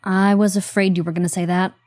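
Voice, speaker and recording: An actress playing a wry, reluctant but loyal helper in a horror audio drama, talking in a dry, conversational way. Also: female voice, mid-range voice, little pitch movement, quick pace, darkish tone, no echo, natural voice.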